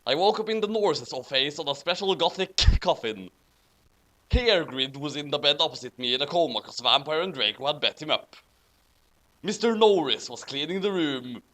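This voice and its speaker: Man reading as melodramatic goth fanfiction narrator, raised pitch. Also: expressive, normal speed, pushed and bright.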